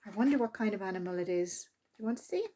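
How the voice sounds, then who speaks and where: A woman reading a picture book aloud to young children in an animated, expressive storytime manner. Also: medium pitch, quick pace. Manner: expressive, with exclaimed sound effects and asides to listeners. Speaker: library storytime reader.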